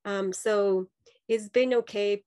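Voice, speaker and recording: A woman's voice: mid-range voice, fairly even intonation, slow pace, mellow, smooth. A woman in her thirties from Mexico, speaking as a nurse-midwife guest on a video call. She talks in an earnest, reflective way, with many fillers and restarts. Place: home room with dark sofa. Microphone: laptop video call.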